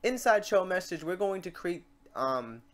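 A man hosting a casual, step-by-step software tutorial, talking informally to his viewers. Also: high-pitched, sing-song, measured pace. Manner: informal, addressing viewers as guys. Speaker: tutorial host. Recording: clear background noise, keyboard typing, clicks. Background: keyboard typing and mouse clicks.

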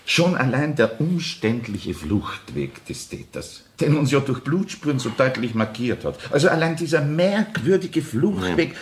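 An actor playing an argumentative, sceptical police detective with a Viennese accent in a radio crime drama. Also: male voice, a little high, big pitch swings, measured pace, hardly any pauses.

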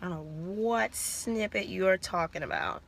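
Slow, gravelly young woman on a phone livestream. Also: lowish voice, a little hiss or hum. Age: young adult. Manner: relaxed, chatty, answers viewer comments, curses. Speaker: livestream host. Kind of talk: casual livestream chat. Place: inside a car. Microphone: phone at arm's length.

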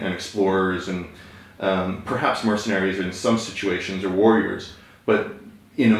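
A male interviewer asking long, halting questions with many restarts. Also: low-pitched, creaky. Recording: very echoey room, off-mic.

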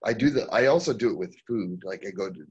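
A middle-aged man interviewing a guest over a video call from his home office, speaking haltingly with many fillers and restarts. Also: lowish voice, expressive, breathy edge, highs cut off. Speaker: magazine interviewer. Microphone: video call mic.

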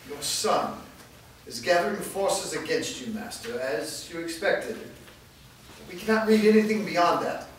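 A man's voice: a little high, measured pace, lightly acted. A man reading the part of a dutiful male elder who reports to his master in a staged script reading. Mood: respectful. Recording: echoey room from far off, background noise.

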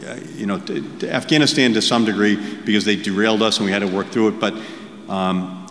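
A man in his sixties talks to a large audience in a plain, candid way, restarting his sentences as he goes. His voice sits low. The recording is full of hall echo with a long reverb tail. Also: fast talker, a bit bright. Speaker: keynote speaker. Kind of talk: conversational keynote Q and A. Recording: echoey hall with background noise.

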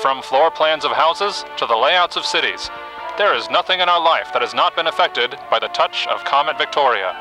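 A man playing a documentary narrator, reading scripted history in a measured, formal manner. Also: a little high, lively intonation, over music, natural voice, poor audio. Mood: solemn.

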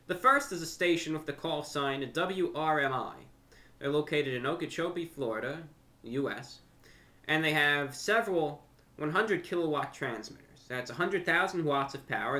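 A young man talking unscripted to camera as a host, with deliberate, slightly formal phrasing, pausing and repeating words. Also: a little high, pushed and bright. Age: young adult. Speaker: YouTube host and amateur radio broadcaster. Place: furnished room at home, indoors.

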